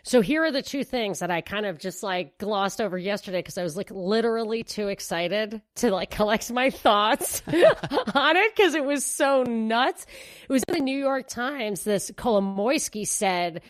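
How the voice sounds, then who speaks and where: Animated woman co-hosting a podcast. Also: fairly high pitch, normal speed, no room sound, studio quality. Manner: animated, rambling, restarts mid-thought. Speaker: podcast co-host. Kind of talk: casual political commentary.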